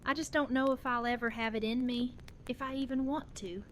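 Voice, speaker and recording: A woman's voice: a little high, fairly even intonation, fairly quick, close to an everyday voice, low-quality recording. A woman playing a tender, resolute young widowed mother in an audio drama, speaking in an earnest, conversational way. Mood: wistful. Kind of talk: fan audio drama dialogue. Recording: clear background noise and ambience.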